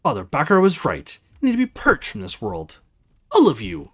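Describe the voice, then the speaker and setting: Enraged, suspicious mother in a fantasy game, venomous and accusing, sharply swinging pitch, acted by a woman. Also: huge pitch swings, moderate pace, a bit bright. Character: furious hostile mother, suspicious witch-hater.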